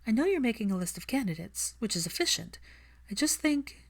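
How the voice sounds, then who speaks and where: A woman's voice: on the high side, sing-song, brisk, pitched above the performer's own voice. Audiobook heroine, played by a female reader: determined, caring woman, earnest, persuasive, insistent.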